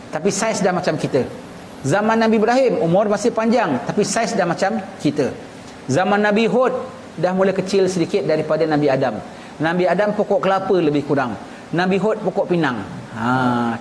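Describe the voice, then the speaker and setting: A man's voice: on the high side, darkish tone. A man from Singapore with a Singaporean Malay accent gives a religious lecture as an Islamic teacher, explaining conversationally with repeated phrases and rhetorical questions. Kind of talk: religious lecture, reading a text and explaining it colloquially. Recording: echoey room with clear background noise.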